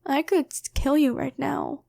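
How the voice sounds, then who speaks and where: Woman acting as a slow, high-voiced taunting female demon. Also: steady tone, vocal fry, mellow, heavily acted. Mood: curious, amused. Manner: slow, teasing, coolly bargaining. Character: taunting female demon possessing a girlfriend's body. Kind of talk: ASMR fantasy roleplay.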